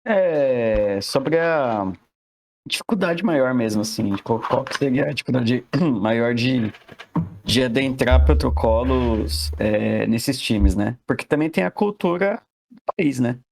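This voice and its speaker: A man on a podcast asking a guest a question in a hesitant, halting way, repeating words as he searches for them. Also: medium pitch, lively intonation, creaky, a little mellow.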